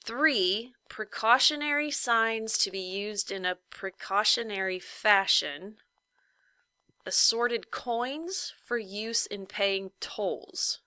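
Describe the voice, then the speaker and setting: Woman reading out a slow, official storybook notice. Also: medium pitch, pushed and bright, smooth. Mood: formal, measured. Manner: official, measured, drawn-out emphasis. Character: formal printed instruction notice in a whimsical storybook.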